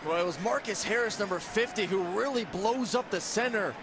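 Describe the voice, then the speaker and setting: Man explaining football schemes, high voice, coach-like, noisy stadium broadcast. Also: fairly quick. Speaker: color analyst. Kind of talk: technical football analysis.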